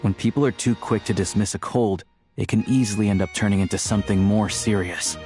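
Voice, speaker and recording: Male actor as young hunter in a fantasy game: lowish pitch, casual, wry and friendly, light music behind. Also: steady tone, fairly quick, darkish tone, natural voice. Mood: friendly, advising. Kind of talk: video game voice acting.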